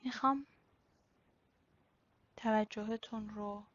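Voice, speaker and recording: A woman leading a guided body-scan meditation in a slow, instructional way with pauses. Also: medium pitch, fairly even intonation, a bit bright. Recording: slightly dull, lo-fi recording.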